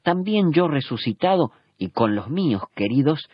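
A man reading a solemn, measured religious reflection for radio. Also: fairly high pitch, sing-song, breathy edge, dry recording. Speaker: radio commentator. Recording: dull, dry radio sound.

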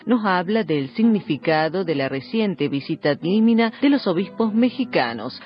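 A woman's voice: sits low, dull audio. A woman presenting scripted news on the radio.

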